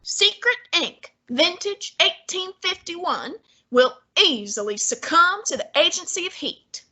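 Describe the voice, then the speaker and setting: A woman plays a heavyset male detective genius who speaks in an unhurried, commanding way, talking down to everyone in the room. The voice sits high and bright for the part. Each word is placed with lordly patience. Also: very animated, exaggerated voice. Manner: measured, commanding, condescending. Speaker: student actor. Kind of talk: student radio drama acting.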